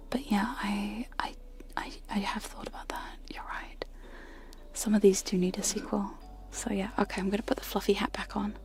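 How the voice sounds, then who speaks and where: Softly whispering woman, close to the mic. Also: mid-range voice. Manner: soft whisper, giggly and self-deprecating. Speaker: ASMR streamer. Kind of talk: whispered ASMR chat with trigger sounds. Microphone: close sensitive microphones.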